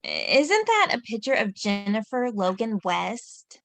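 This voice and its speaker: A woman voices a bubbly young woman who keeps bragging about her boyfriend. The voice sits a touch high, unhurried, with a gravelly edge. The read is dry and close. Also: sing-song, fairly bright tone, no room sound, exaggerated voice. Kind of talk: table read acting.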